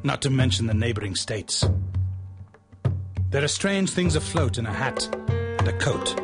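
A man's voice: mid-range voice, animated, a little slow, over loud music. A man performing a dramatic, scripted spoken-word poem as part of a radio theatre show. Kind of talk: dramatic spoken-word recitation over music.

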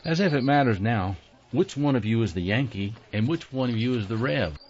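A man voicing an army captain who is brisk, teasing and good-humored. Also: medium pitch, expressive, slight background noise, lightly acted. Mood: gruff, amused. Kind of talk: audio drama acting. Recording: muffled lo-fi recording.

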